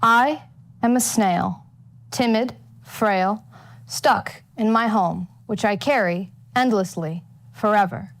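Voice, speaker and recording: A woman recites a poem in a dramatic style. She goes very slowly at a medium pitch, and the recording is dry with little room sound. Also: dry recording. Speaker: student poet.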